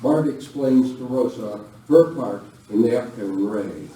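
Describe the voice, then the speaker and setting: A man's voice: medium pitch, a little slow, dark tone. A man reading scripted narration for an amateur live radio western. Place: village hall live performance. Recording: very echoey room, distant, faint noise.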